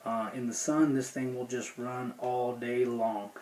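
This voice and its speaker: Male gear reviewer, casual and folksy, mid-pitched with little inflection, some background noise. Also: flat delivery, a little slow, darkish tone. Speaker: gear review video host. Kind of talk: casual unscripted product review.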